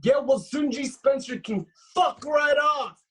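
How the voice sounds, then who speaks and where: A man's voice: very high-pitched, a little slow, a bit bright. An actor playing a spoiled, self-absorbed rich young man, dramatic and indignant, in a comedic script read. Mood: bitter, indignant. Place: recorded remotely at home on a video call.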